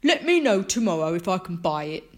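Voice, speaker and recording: A woman voicing a sly, creepy, scheming male neighbour in a children's storybook, smug and deliberate. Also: fairly low pitch, big pitch swings. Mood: smirking, pushy.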